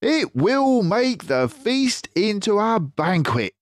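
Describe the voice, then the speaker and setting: Man playing an assured father fox, raised pitch. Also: big pitch swings, slow, no room sound, full character voice. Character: commanding, enthusiastic adult father fox, male.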